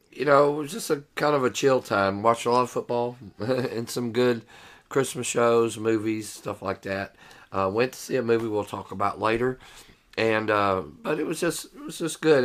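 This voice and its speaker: A man co-hosting a podcast while hoarse from losing his voice, talking in an easygoing, relaxed way. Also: mid-range voice, moderate pace. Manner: easygoing, relaxed, laughs often. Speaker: podcast co-host, repair expert. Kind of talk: casual chat.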